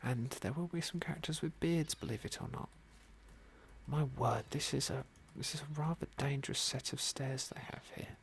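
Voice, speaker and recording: A British man narrating an ASMR game playthrough in a gentle, polite, understated manner. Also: medium pitch, fairly even intonation, moderate pace, gravelly, slight background noise. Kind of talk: whispered ASMR roleplay and commentary.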